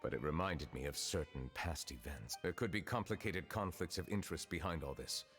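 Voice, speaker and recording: A man's voice: very deep voice, a little fast, fairly bright tone, faint music behind. An actor playing a reserved, dignified ancient male judge who speaks formally and measuredly. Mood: reflective. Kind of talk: game voice acting.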